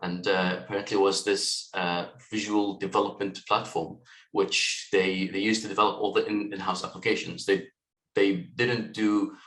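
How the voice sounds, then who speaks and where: A man in his late twenties from Lebanon, raised in Kuwait, speaks with a fairly low voice. He talks in a relaxed, thoughtful way with plenty of fillers. His call audio carries a roomy echo. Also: normal speed. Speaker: guest, software tech lead. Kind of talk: interview conversation.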